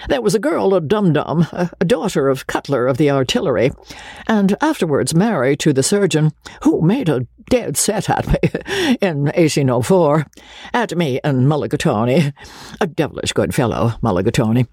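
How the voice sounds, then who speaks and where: A vain, blustering young man brags on and on, full of mouthfuls and chuckles, played by a woman. The voice swoops lively up and down, husky and close. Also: sits low, normal speed, no echo, full character voice. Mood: boastful.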